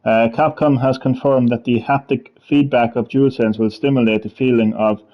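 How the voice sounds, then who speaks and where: A man hosting a casual gaming stream, rambling, laughing often and reading web text aloud haltingly. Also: medium pitch, steady tone, lo-fi sound. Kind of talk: casual gameplay chat.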